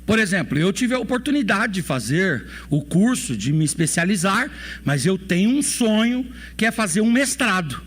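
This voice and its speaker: A Brazilian man in his forties preaches in a church, asking the congregation question after question. His voice sits high for a man and swings widely in pitch. He repeats his key phrases again and again. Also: sing-song, moderate pace, a bit bright. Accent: Brazilian Portuguese. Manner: preaching with rhetorical questions and repetition. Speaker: pastor. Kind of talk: sermon.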